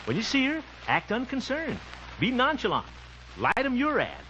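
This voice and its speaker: Folksy chuckly self-assured radio comedy man, super animated pitch, hissy old recording. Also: normal speed, exaggerated voice. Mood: breezy, self-assured. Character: jovial, self-satisfied small-town bachelor radio entertainer. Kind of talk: old-time radio situation comedy acting. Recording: muffled, noisy old-time radio recording.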